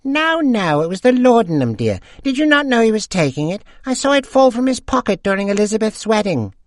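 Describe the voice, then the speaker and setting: A bossy, know-it-all old woman, put on by a male storyteller cuts in with a shrill, loud falsetto. Her voice is pitched very high with a strange, thin timbre. The recording stays close and dry. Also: sing-song, fairly quick, no echo. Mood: insistent.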